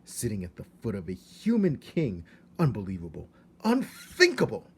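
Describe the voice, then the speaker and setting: A man's voice: lowish voice, huge pitch swings, moderate pace, breathy edge, exaggerated voice. Man acting a frantic, outraged father mouse. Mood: furious. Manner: exclamatory, dramatic, shouting. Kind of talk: storybook character voice.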